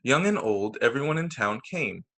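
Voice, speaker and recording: A man's voice: mid-range voice, very animated, normal speed, gravelly, sharp tone. A man reading picture books aloud to children as a teacher, narrating over a video call. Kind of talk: storytime narration read aloud.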